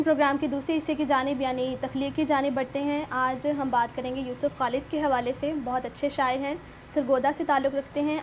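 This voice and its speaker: A woman's voice: high-pitched, low-quality recording. A woman with a Pakistani accent hosting a literary show in a polite, formal presenting style. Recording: clear background noise.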